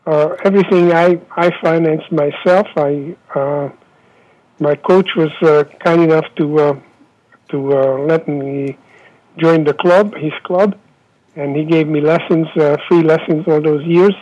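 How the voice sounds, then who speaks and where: Brief: man in his 70s, Hungarian accent, slightly high, husky, many hesitant fillers, lo-fi phone audio. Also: steady tone, a little mellow. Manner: halting, many filler pauses. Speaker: interviewee, former Olympic fencer. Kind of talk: oral history interview. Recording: dull lo-fi phone line.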